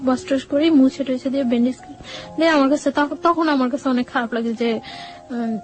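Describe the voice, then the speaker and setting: A young woman with a high voice and a Bangladeshi accent recounts a personal story as an interview guest. She speaks haltingly, circling back over her words, with music playing behind her. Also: darkish tone. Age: young adult. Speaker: guest telling own life story. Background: background music.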